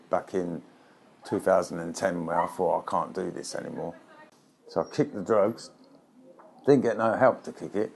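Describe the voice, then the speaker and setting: A middle-aged man speaks frankly and in a streetwise way, tagging his sentences with "yeah?". His voice is low, and he is recorded on a clip-on mic indoors in a flat. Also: vocal fry, a little hiss or hum. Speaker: interviewee. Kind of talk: candid interview.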